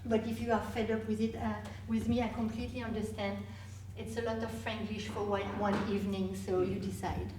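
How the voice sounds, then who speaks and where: A woman's voice: medium pitch, level tone, recorded from a distance, background noise. Woman in an echoey hall. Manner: halting, many restarts. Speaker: guest speaker. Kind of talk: live Q&A presentation. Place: meeting hall.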